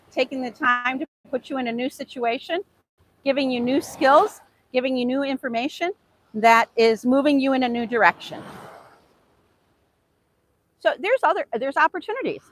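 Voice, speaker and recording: Casting: woman, fairly high voice, native Hungarian speaker from the US, earnest informal motivational teacher, slightly noisy recording. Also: moderate pace. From United States, Hungarian immigrant family. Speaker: English teacher giving a motivational self-improvement talk. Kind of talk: informal motivational talk with rhetorical questions.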